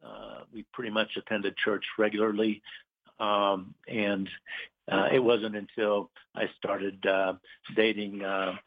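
A middle-aged man from Puerto Rico talks casually as an interview guest. His voice sits low, and he pauses and fills often as he searches for words. The sound is thin and tinny, like a phone call. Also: level tone, gravelly. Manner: hesitant, many pauses and fillers. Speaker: guest, business owner.

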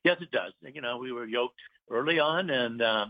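A middle-aged man from Puerto Rico, a business owner, chatting as an interview guest, halting with many fillers and pauses. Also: mid-range voice. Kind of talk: casual interview chat. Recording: tinny phone line.

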